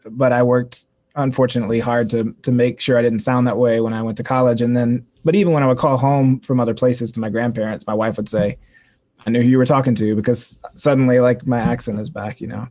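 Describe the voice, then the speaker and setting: A man from North Carolina hosts a festival chat with a warm, mellow tone. He asks long, meandering questions over a video call. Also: medium pitch, steady tone, fairly quick. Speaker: festival host. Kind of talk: hosting a festival talk.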